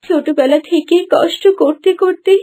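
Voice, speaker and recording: A woman's voice: high-pitched, moderate pace, warm tone, lightly acted. A woman voicing a sorrowful young maiden turned talking flower in a fairy-tale cartoon, speaking plaintively.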